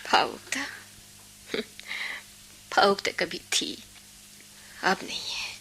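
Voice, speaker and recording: A woman's voice: medium pitch, creaky, pushed and bright, slight background noise, full character voice. An actress playing an embittered, dutiful young working woman in a Hindi radio play, speaking with earnest, emotional delivery. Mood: bitter, weary.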